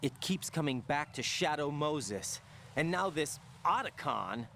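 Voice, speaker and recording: A man's voice: fairly high pitch, expressive, measured pace, gravelly, bright, forceful tone, heavily acted. An earnest young rookie operative in a game cutscene, always asking questions. He speaks in a mid-range voice, with a faint hiss in the background. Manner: earnest, inquisitive. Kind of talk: video game cutscene acting.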